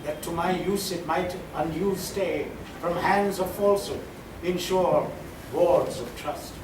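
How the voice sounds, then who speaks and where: A man reciting Shakespearean sonnets as a poetry reader, with deliberate, dramatic phrasing and pauses. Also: sits high. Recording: roomy, distant, clearly noisy live recording.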